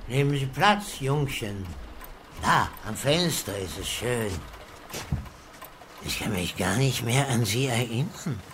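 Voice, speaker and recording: An actor playing a frail, friendly, scatterbrained old retired professor in a radio drama, with a husky, breathy voice and a halting delivery. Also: male voice, mid-range voice, expressive, noisy background. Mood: friendly, confused. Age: elderly.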